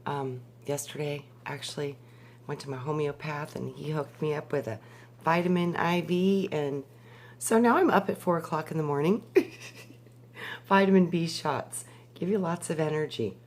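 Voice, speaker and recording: Slow, low-voiced woman with a hoarse voice. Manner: slow, measured, with many pauses and fillers. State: hoarse from a cold. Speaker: spiritual teacher. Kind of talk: slow spiritual talk.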